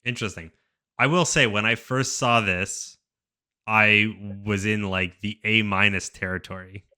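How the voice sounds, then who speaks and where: A man's voice: lowish voice, fairly even intonation, measured pace, sharp tone. A man hosting a casual sports podcast, easygoing as he steers the talk and hands out grades. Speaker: podcast host.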